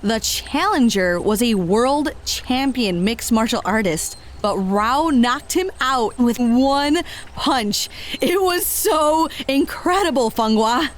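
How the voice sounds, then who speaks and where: A woman's voice: a little high, animated, takes it slowly, a little hiss or hum. A woman playing an upbeat, friendly and enthusiastic female fighter in an audio drama. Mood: impressed.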